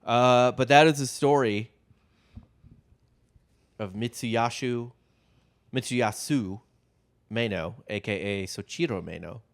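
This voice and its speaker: A man's voice: medium pitch, takes it slowly, gravelly, pauses often. A man co-hosting a casual comedic history podcast, loose and profane, laughing easily as he tells the story. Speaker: podcast co-host telling the story.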